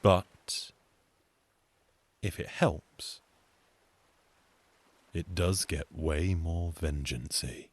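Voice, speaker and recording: A man's voice: deep voice, extremely slow, long pauses. A man performing theatrical folktale storytelling, with dry, wry asides.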